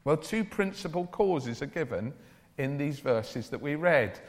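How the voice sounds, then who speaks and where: A man preaching a church sermon in an earnest, deliberate way, with declarative phrasing. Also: a little high, lively intonation. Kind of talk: evangelical church sermon with Bible reading and prayer. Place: large church or hall, speaking to a congregation. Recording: reverberant hall, long echo.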